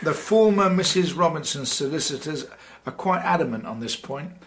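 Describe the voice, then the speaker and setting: An actor playing a polite, persistent police sergeant in a period radio drama, questioning formally and deferentially with halting care. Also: male voice, a little high, animated, fairly bright tone. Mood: insistent, apologetic. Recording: clear background noise and ambience.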